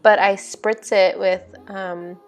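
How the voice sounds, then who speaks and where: A woman's voice: medium pitch, level tone, slow pace. A young woman casually narrating her makeup routine in an informal, chatty way. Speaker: vlog host. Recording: music behind the voice. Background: music.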